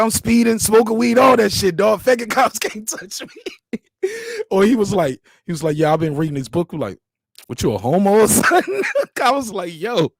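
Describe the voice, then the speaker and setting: A man's voice: sits high, no room sound, studio quality. A young man on a panel, chatting casually and telling stories with animation. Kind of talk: casual chat, animated storytelling.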